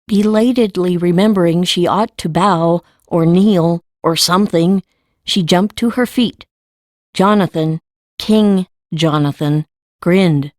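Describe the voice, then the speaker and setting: Unhurried woman narrator, very slow pace. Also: lowish voice, darkish tone, no echo, studio quality. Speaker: audiobook narrator. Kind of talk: scripted story narration.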